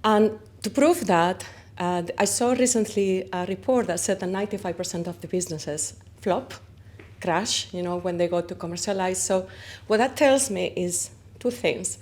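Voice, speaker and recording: A woman's voice: on the low side, normal speed. A woman from Spain with a Spanish accent, a company founder talking expressively in a conference interview. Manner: animated, expressive, uses vivid comparisons.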